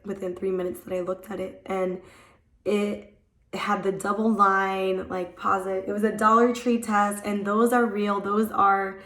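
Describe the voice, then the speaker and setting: A young woman vlogging in a casual, rambling way, her voice hoarse from a cold and just coming back. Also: medium pitch, echoey room. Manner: chatty, rambling, many "like" fillers. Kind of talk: casual chat to camera. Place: at home.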